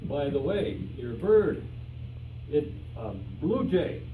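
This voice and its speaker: Man playing slow, cautious broken-English detective sidekick. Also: on the high side, sing-song, phone-quality sound. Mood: dryly exasperated. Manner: clipped broken grammar, dropped articles. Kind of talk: old-time radio comedy acting. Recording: echoey, noisy room, distant mic.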